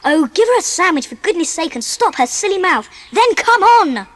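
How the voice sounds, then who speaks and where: A woman playing a bold, bossy child adventurer with an English accent, brisk and no-nonsense, in a dramatised audiobook. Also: very high voice, big pitch swings, long reverb tail.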